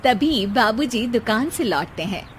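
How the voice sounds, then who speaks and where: A woman's voice: on the high side, lively intonation, measured pace, loud music behind the voice. A woman narrator reading a scripted comic family story.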